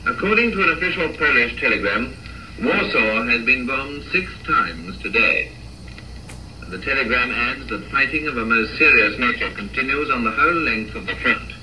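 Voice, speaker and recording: An actor playing a grave, formal 1930s war newsreader heard through an old radio. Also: male voice, medium pitch, expressive, pushed and bright. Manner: formal, measured delivery. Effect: old radio filter. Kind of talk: 1930s radio news bulletin. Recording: thin, noisy old radio broadcast.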